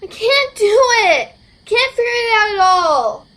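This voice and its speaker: A woman's voice: very high voice, big pitch swings, unhurried, a bit bright, heavily acted. A woman playing a peculiar, whiny teenage girl in an amateur audio drama, childishly inquisitive and insistent. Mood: whiny, frustrated.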